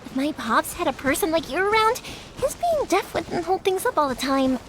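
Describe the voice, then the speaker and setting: A woman's voice: very high voice, big pitch swings, moderate pace, background noise. A woman voicing a cheerful young fireworks maker in a video game, upbeat, chatty and caring. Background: game background music.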